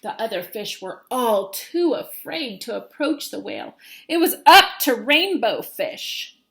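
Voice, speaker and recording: A woman's voice: on the high side, very animated, a little slow, vocal fry, a bit bright. A woman teacher reading a children's storybook aloud, expressively and with dramatic emphasis.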